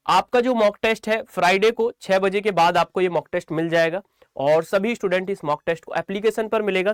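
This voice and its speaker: A man's voice: high voice, clear, resonant tone, no room sound, clean studio sound. A man with a North Indian accent, a coaching teacher informally briefing online students, explaining repetitively and addressing listeners directly as friends.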